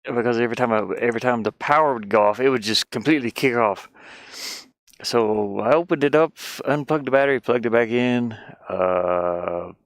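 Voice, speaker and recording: A man's voice: mid-range voice, moderate pace, dry recording. A man giving casual think-aloud commentary as he works on a game, rambling and muttering to himself with repeated words. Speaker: game developer streaming his work.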